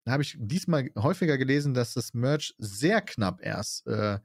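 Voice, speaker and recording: A man hosting a podcast, talking briskly and casually, running through lists with small laughs. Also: mid-range voice, animated, dry recording, studio quality. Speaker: podcast host.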